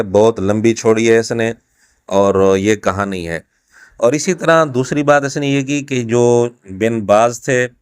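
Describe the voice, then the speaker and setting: A man's voice: on the low side, clean tone, no echo, studio quality. A man giving an explanatory rebuttal as a religious scholar, speaking methodically and emphatically and repeating his points. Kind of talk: explanatory rebuttal commentary to camera.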